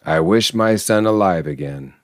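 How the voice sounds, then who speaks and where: Guy doing a scared old man, lowish smooth voice, shaky and pleading, audiobook style. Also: moderate pace, dry recording, studio quality, lightly acted. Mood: faltering dread. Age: older. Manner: unsteady, shaking, faltering. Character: frightened, trembling old husband, aghast and pleading.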